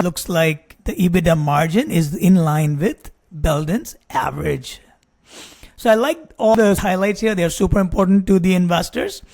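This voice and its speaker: High-pitched man presenting a business tutorial. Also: a little mellow. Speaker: presenter, investor relations adviser. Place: small indoor room or home office. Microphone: close desk microphone.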